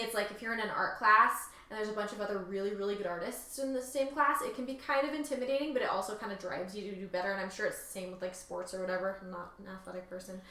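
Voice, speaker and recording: Lady co-host talking casually, medium pitch, lots of room echo. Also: sharp tone. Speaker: co-host reading and discussing reviews. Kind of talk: casual chat, reading aloud and commenting. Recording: roomy, echoing sound.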